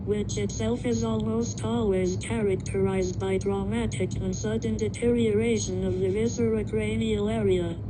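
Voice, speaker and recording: A woman playing an impassive emergency broadcast announcer, reading grim survival instructions in a formal, measured, detached way for an analog horror piece. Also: mid-range voice, noisy background, lightly acted.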